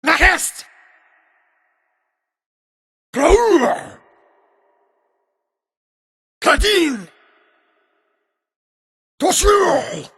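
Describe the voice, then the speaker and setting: Game creature casting: male cat warrior, very high gravelly bellows, wildly swooping, one drawn-out word at a time. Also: lively intonation, a bit bright, heavily acted. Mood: fierce, forceful. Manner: bellowing single explosive words. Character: fierce male cat-folk warrior bellowing magic shouts. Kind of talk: video game battle shouts.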